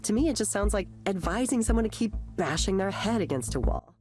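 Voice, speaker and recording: An actress playing a discouraged, anxious artist confiding her self-doubt in a visual novel, in a halting, confessional way. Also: mid-range voice, lively intonation, darkish tone, natural voice. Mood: frustrated, hurt. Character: discouraged, anxious young woman artist in therapy. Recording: game dialogue under clear music.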